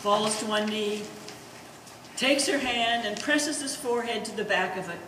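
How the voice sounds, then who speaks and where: A woman's voice: medium pitch, a little slow, sharp tone. A woman reading stage directions as scripted narration for a live play. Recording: distant in echoey gallery, faint hiss.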